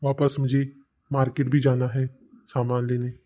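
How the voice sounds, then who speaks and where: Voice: medium pitch, little pitch movement, moderate pace, lo-fi sound, lightly acted. A performer voicing a mild, resigned older father-in-law, a village man in a cartoon comedy. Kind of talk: Hindi cartoon family comedy dubbing.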